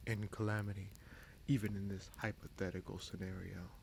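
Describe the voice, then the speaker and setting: Man acting a low, gravelly, scheming fantasy prince. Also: little pitch movement, slight background noise. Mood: brooding, distrustful. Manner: menacing, sardonic, deliberate with dramatic pauses and sighs. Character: bitter, scheming bastard prince commanding a captive dragon. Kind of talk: dramatic fantasy audio roleplay addressed to the listener.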